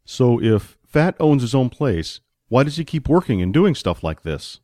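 A man's voice: mid-range voice, very animated, moderate pace, a little mellow, dry recording, studio quality, natural voice. A man voicing a big, naive, curious barbarian in a fantasy audiobook, with simple and earnest questioning.

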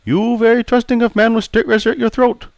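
Male reader as grumpy veteran barber, blunt broken English, lively gravelly voice, dry sound. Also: medium pitch, unhurried, no room sound. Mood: gruff. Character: crusty older male barber, gruff and prickly.

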